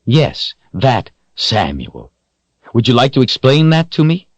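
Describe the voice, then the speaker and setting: A tormented young hero, as a deep-voiced male narrator puts him on, his voice lifted high and cracking with strain. He swings widely from tight restraint to raw outbursts. It is a dull, dry audiobook recording. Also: lively intonation, normal speed, dry recording, natural voice. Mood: furious. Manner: strained, rising to anguished outbursts. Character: young man, a troubled sword-bearing hero.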